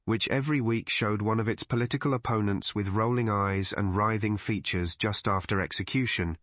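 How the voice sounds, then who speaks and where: Measured male audiobook narrator, dry close sound. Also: lowish voice, a bit bright, dry recording. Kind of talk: scripted literary narration of a mystery story.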